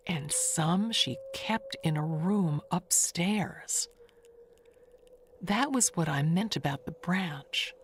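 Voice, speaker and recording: A woman's voice: fairly low pitch, a little hiss or hum. A woman playing a chatty older neighbor, rambling and confiding in an audio mystery tale, coy and wistful.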